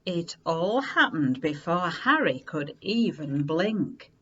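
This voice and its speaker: Slow, lively woman reading a children's story. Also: lowish voice, slightly rough, highs cut off. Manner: unhurried, animated, dramatic emphasis. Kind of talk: expressive read-aloud children's story narration.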